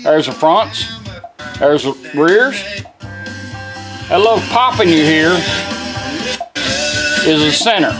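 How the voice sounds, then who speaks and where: A man's voice: fairly high pitch, lively intonation, slow pace, pauses often, over music. A man casually walks through a hands-on product demonstration in folksy, step-by-step fashion. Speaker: presenter demonstrating a car radio.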